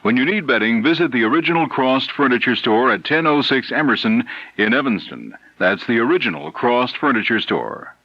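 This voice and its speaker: A man's voice: mid-range voice, expressive, a little fast, a little hiss or hum. An American man working as a local radio announcer, reading a scripted advertisement. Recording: dull old radio broadcast, dry.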